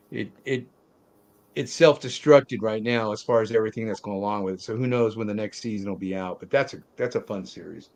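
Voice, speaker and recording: A man hosting a movie talk show in a casual, conversational style. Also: lowish voice.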